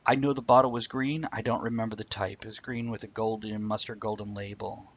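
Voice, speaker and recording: A man's voice: fairly low pitch, fairly even intonation, fairly quick, slightly rough, dull audio. A man hosting a live-streamed video chat show, joking, laughing and chatting with viewers. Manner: jokey, laughs often, chats to viewers. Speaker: host. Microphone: video call.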